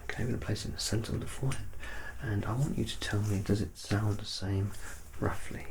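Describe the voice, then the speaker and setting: A man with a lowish, gravelly voice speaks softly, sometimes close to a whisper, as an examiner in an ASMR roleplay. He guides the listener gently through each step and reassures them. Clear background noise sits behind the voice. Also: fast talker, low-quality recording. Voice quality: soft-spoken, at times near whisper. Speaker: ASMR performer playing a medical examiner. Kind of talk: ASMR roleplay, soft-spoken clinical exam.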